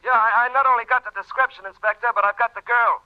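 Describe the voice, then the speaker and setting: A dull 1940s radio recording of a police desk officer heard through a telephone. He talks quickly in a high voice, brisk and dutiful. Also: fairly even intonation. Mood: brisk, matter-of-fact. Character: brisk, deferential male homicide desk officer. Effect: telephone filter. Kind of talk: 1940s radio drama acting.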